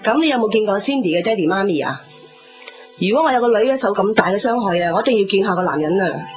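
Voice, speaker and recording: A woman's voice: medium pitch, expressive, quick pace, close to an everyday voice. A woman playing a protective middle-aged mother in a Hong Kong Cantonese radio drama, speaking in a pointed, controlled, sarcastic way. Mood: probing, cool. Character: protective, sharp-tongued middle-aged mother, guarded and stern. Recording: dull 1990s radio recording, music behind.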